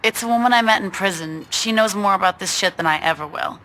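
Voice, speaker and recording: Woman playing a bright, breezy gangster stoner. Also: medium pitch, quick pace, a little hiss or hum, natural voice. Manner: casual, breezy, wry. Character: laid-back, slangy young woman gangster stoner.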